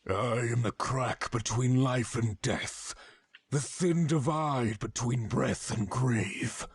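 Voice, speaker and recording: Solemn god of death, extremely husky and breathy, slow, portentous, fantasy game. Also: male voice, mid-range voice, exaggerated voice. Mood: grave, ominous. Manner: grave, portentous.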